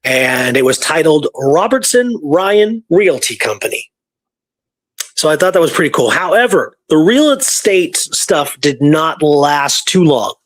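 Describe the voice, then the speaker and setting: A man casually retelling history research from his notes, halting and informal as he reads out dates. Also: a little high, big pitch swings, no echo.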